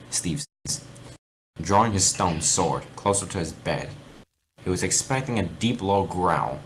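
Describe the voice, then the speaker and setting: A man reading aloud and talking casually in an amateur, sweary way over a game voice chat. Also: deep voice. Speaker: fan fiction reader. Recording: noisy voice chat recording.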